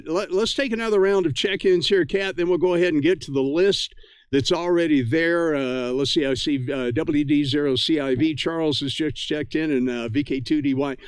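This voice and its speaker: Friendly man hosting a radio net, clear voice. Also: on the high side, no room sound. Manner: friendly, effusive, thankful. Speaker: ham radio net host and livestreamer.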